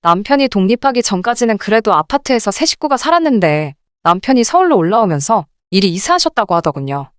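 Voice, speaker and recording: Clear-voiced woman narrating with lively pitch. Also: fast talker, few pauses, dry recording, clean studio sound. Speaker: radio drama story narrator. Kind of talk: scripted first-person story narration.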